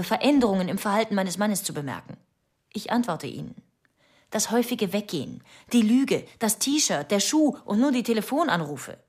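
An actress in a radio drama playing a suspicious, obsessive young wife giving testimony in terse, insistent fragments. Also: female voice, medium pitch, lightly acted. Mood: insistent, tense. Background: faint music.

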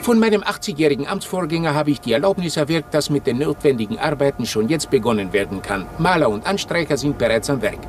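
A man's voice: fairly high pitch, animated, fast, few pauses, faint music behind, natural voice. An actor playing an ingratiating clergyman nephew reading his letters in a radio drama, formal and flowery in manner. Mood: persuasive.

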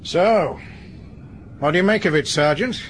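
A sharp, probing police inspector. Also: male voice, high-pitched, slight background noise. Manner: probing, measured, reasoning aloud. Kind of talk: 1980s BBC radio drama acting.

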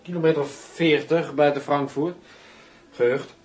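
Man playing a dry flippant bodyguard in a radio play, higher voice, roomy and echoey. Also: fairly even intonation, unhurried, a little mellow, recorded from a distance, soft background music, natural voice. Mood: casual. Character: cool, sardonic undercover bodyguard and adjutant. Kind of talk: radio drama acting.

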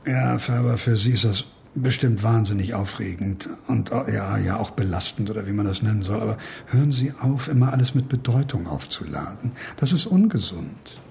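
An actor playing an older professor in a radio drama, blunt and avuncular, with a wry, teasing way of talking. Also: male voice, on the low side, animated, normal speed, creaky, darkish tone, a little hiss or hum. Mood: wry, lecturing. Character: older gruff professor, teasing, paternal, fond of a drink. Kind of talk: naturalistic radio drama dialogue.

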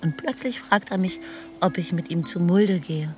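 A woman's voice: on the low side, moderate pace, a little mellow, lightly acted. Actress as reflective woman narrator, radio drama, plain understated storytelling, music under it.

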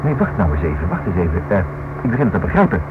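A nosy stubborn husband in a radio play, lively pitch, repeats himself, lots of hiss. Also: male voice, racing. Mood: excited reasoning. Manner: thinks aloud, insistent, repeats himself. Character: inquisitive, stubborn married man writing a book. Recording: noisy, dull old radio recording.

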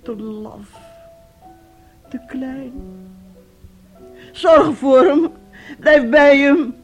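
A woman's voice: sits high, dull audio, faint music behind. An actress in a radio play voicing a despairing middle-aged mother, with a brooding, anguished way of speaking. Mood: desperate pleading. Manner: slow, brooding, anguished storytelling. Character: despairing mother, an aunt, anguished over her missing son. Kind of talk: Dutch-language radio play acting.

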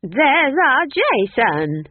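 A woman's voice: high-pitched, very animated, extremely slow, no echo, narrow, tinny audio, voice pushed up, heavily acted. A woman voicing a jolly, boisterous old witch in an audiobook, exuberant and doting as she calls out. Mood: proud delight. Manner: exuberant, cooing, calling out.

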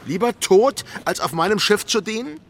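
An actor playing a swaggering, teasing pirate captain in a radio drama, with a theatrical, taunting and menacing delivery. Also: male voice, high-pitched, animated, moderate pace, slight background noise. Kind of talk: German radio drama acting. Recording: studio radio play under loud music.

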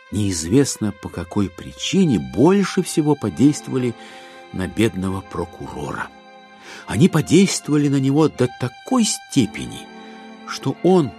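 A man narrating a literary audio drama, with expressive, ironic and deliberate phrasing. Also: mid-range voice.

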